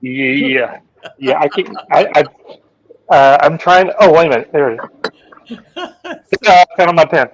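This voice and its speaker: A man's voice: a little high, lively intonation, unhurried, long pauses, highs cut off. A man co-hosting a livestream over a video call, teasing the others with jokes. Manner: teasing, joking banter. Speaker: podcast co-host. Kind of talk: casual livestream chat.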